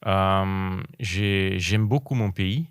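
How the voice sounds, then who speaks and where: A very low-pitched man speaks French as a guest on a podcast. He talks in a halting way, repeating words as he looks for the right ones. His voice sounds close and dry. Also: clean tone, no room sound. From Brazil. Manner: halting, repeats words while searching for them. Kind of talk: casual interview conversation.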